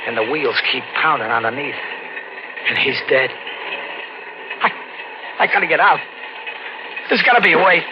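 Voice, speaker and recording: Actor as anxious drifter on the run, old-radio sound. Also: male voice, a little high, animated, background noise, natural voice. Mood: panicky, scheming. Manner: halting, anxious, repeats words, street slang. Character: frightened drifter with a record, guilt-ridden killer on the run. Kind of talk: 1940s radio thriller acting, first-person narration. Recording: dull old radio recording with music. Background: dramatic music.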